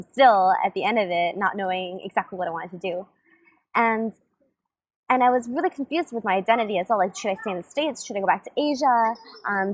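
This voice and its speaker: A woman's voice: mid-range voice, dry recording. A young woman coach talking to her audience in an easy, conversational way. She keeps circling back with fillers and self-corrections as she speaks. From grew up between Germany, Taiwan, Shanghai, Hong Kong and California. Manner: chatty, many fillers and restarts. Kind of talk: casual motivational talk.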